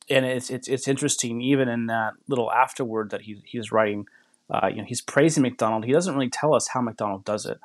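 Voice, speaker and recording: Reflective man, medium pitch, dry sound. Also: no echo. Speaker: podcast guest. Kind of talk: reflective conversational talk.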